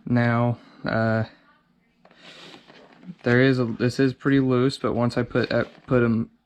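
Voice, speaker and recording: A man's voice: medium pitch, level tone, slow. A man hosting a casual DIY video, narrating informally and thinking aloud as he works with his hands. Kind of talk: casual how-to narration while working. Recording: clear background noise with handling clicks.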